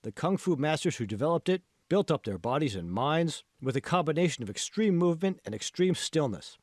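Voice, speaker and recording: A man's voice: a little high, very animated, a little fast, dry recording, studio quality. A man reading scripted fiction narration as the author of an audiobook.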